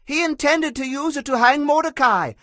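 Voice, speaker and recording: A woman's voice: high voice, normal speed, pushed and bright, no room sound, clean studio sound. A woman voicing a court eunuch attendant in a scripture audio drama, making formal, pointed declarations. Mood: accusing, urgent.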